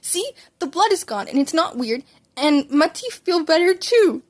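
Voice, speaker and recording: A woman reading a story and voicing an excited little boy who chatters eagerly in childlike run-on phrases. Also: very high voice, a little slow, pitched above the performer's own voice, exaggerated voice.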